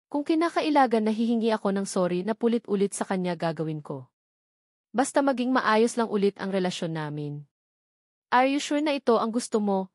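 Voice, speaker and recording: Woman, Filipino accent, medium pitch, first-person romance novel narration, very dry recording. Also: darkish tone, dry recording. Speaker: audiobook narrator.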